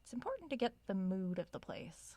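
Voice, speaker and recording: An actress playing a curious, self-aware researcher in an audio drama, recording a spoken log in a conversational, measured way. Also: female voice, mid-range voice, big pitch swings, warm tone. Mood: musing, casual. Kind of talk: audio drama log, reading aloud and musing.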